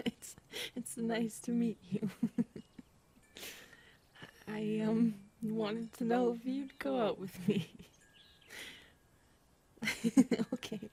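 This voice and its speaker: A woman playing a big, earnest predatory alien woman in an intimate audio roleplay, soft and hesitant, often murmuring. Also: on the high side, fairly even intonation, slow, breathy edge. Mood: bashful, hopeful. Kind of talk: audio roleplay acting, addressed to listener.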